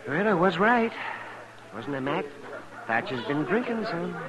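An actor playing a fussy, chuckly, excitable town doctor with a wry streak in a western radio drama. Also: male voice, a little high, measured pace, dull audio, background noise. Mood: amused. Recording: old radio recording, crowd behind.

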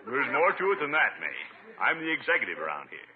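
An actor playing a pompous, easily flustered middle-aged water commissioner in a 1950s radio comedy. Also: male voice, medium pitch, sing-song, fast, natural voice. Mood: self-important. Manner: self-important, chuckling, easily flustered. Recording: muffled, noisy old radio recording.

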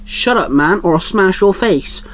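A man's voice: sits high, animated, a little slow, a bit bright, pitched above the performer's own voice, low-quality recording. Threatening bar drunk, read by a man, picks fights. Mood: aggressive. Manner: aggressive, threatening.

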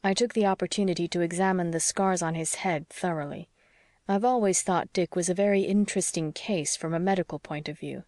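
A woman voicing an earnest, stubborn young male doctor who reasons in a measured, formal way, as in an audiobook. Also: lowish voice, fairly even intonation, darkish tone, no echo, studio quality, close to an everyday voice. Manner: measured, formal, slightly stiff. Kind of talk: audiobook character dialogue.

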